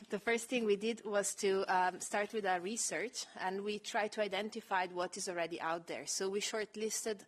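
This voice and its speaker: A woman with a low voice giving a measured, explanatory panel presentation with frequent fillers. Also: fairly even intonation. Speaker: panel speaker presenting project research.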